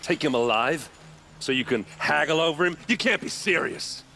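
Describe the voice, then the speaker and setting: An actor playing a grief-stricken, self-doubting soldier and younger brother in a game cutscene. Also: male voice, fairly high pitch, animated, normal speed, pushed and bright, slight background noise. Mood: indignant. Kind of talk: dramatic game acting. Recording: music behind the dialogue.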